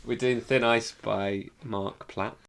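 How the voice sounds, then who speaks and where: A man's voice: fairly low pitch, fairly even intonation, slow pace, gravelly, fairly bright tone. A man co-hosting a podcast in casual conversation, laid-back and brief in his remarks. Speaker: podcast co-host. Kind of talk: casual discussion.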